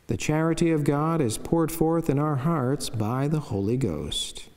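Mellow middle-aged friar in a reverberant chapel. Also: male voice, on the high side. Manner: solemn, measured recitation. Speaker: Franciscan friar and priest. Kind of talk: devotional reading of a saint's life, scripted. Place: wood-panelled chapel, indoors. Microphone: lectern microphone. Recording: long church reverb.

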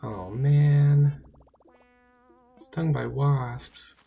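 A man with a hoarse, sore-throated voice giving casual, chatty commentary while playing a video game, talking to himself and to the game. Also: mid-range voice, drawn-out words, mellow, faint music behind. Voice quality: gravelly, raspy. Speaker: let's play gamer.

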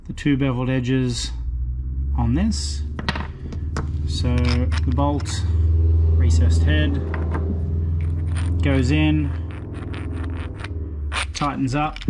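A man casually narrating his motorbike rebuild in a relaxed, rambling way, with lots of fillers and dry self-deprecating asides. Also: mid-range voice, heavy background noise. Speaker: motorbike restoration vlogger. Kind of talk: casual workshop voice-over narration.

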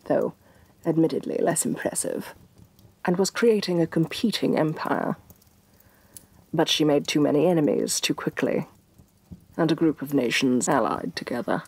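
A domineering evil queen voiced by a woman for a fantasy ASMR roleplay. She speaks softly and very slowly, with breath in every phrase. Her delivery swoops expressively, imperious and scornful, with menace under the softness. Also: low voice, no echo, full character voice. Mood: haughty, wary. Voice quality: soft, breathy. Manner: imperious, deliberate, disdainful, sighing with exasperation. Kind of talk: soft-spoken ASMR roleplay.

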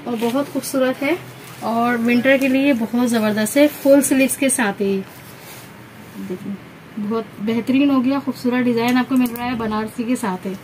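A woman's voice: fairly high pitch, steady tone, moderate pace, darkish tone, poor audio. A woman with a Pakistani accent presenting stock in an informal sales style, repeating herself and mixing in English words. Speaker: shop presenter showing wholesale stock. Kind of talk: informal product showcase sales talk. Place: indoor garment shop packed with fabric. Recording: clear background noise, handheld phone sound.

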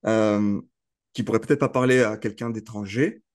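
A man's voice: on the low side, steady tone, moderate pace. A man hosting a casual interview, often restarting and repeating words mid-sentence. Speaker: host.

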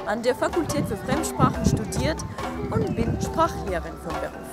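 A young woman from Russia, a language teacher, speaking in an animated, precise way outdoors. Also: high voice, normal speed, over loud music. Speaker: city ambassador and language teacher. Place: outdoors in a town square.

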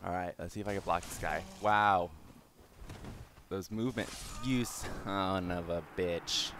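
A young man with a slightly raspy, hoarse voice giving casual let's-play commentary, swearing and mocking himself as he plays. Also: low-pitched, steady tone, measured pace, a bit bright, a little hiss or hum. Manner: swears and exclaims at the game, self-mocking. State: hoarse from yelling. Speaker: gaming channel host.

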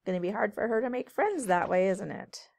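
A woman teacher reading a storybook aloud to children in a measured, sing-song way. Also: medium pitch, lively intonation, creaky, darkish tone. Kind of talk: storybook read-aloud narration.

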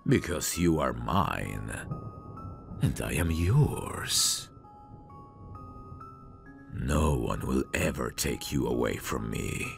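A man playing a possessive, creepy, doting mad scientist in an intimate ASMR roleplay, theatrical and breathy, slipping into whispers. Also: very deep voice, full character voice. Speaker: ASMR voice actor. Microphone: close microphone. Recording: close-miked voice over clear music. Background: music.

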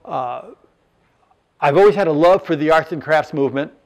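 A man's voice: a little high, moderate pace. A man in his sixties from Southern California, a furniture business owner walking through his showroom and plainly listing sizes and features. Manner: plain, matter-of-fact, lists measurements with fillers. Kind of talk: product presentation.

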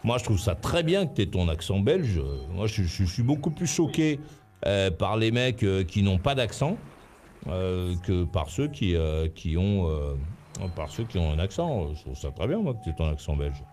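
A middle-aged male radio host in a small dark studio, speaking hesitantly with many fillers and blunt opinions. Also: deep voice, very animated, normal speed, a little hiss or hum. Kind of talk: casual late-night radio talk. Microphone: studio mic with headphones.

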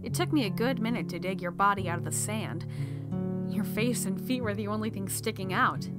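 A woman's voice: mid-range voice, brisk, natural voice. Brash young desert medic played by a woman, music behind. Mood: blunt. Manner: blunt, taunting, self-assured. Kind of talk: audio drama acting.

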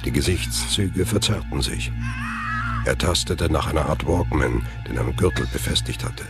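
A man's voice: extremely low pitch, normal speed. A man giving scripted narration for a thriller audio drama. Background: music.

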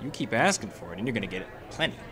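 An actor playing a sullen teenage hoodlum in a radio drama, snarling in slangy threats. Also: male voice, lowish voice, very animated, rapid-fire, crowd noise. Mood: surly, menacing. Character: sullen, surly nineteen-year-old male hoodlum. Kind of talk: old-time radio drama acting.

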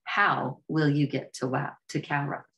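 A woman voicing a calm, wise male bee who guides a weary traveler, speaking in a patient, measured way. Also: low-pitched, lo-fi sound. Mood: coaching. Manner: patient, measured, gently coaching.

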